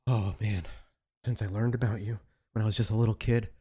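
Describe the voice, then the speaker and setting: A man voicing an obsessed young female copycat killer in a tabletop role-play, defiant and evasive, halting and repeating words. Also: on the low side, steady tone, mellow, husky. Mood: evasive, confessional.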